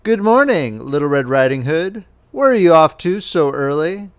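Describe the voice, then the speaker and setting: A man's voice: on the high side, sing-song, moderate pace, clean tone, pitched above the performer's own voice. A man voicing a wicked, sly wolf in a fairy tale reading, coaxing and falsely polite. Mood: falsely friendly. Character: wicked sly wolf, feigning friendliness and a grandmother's voice.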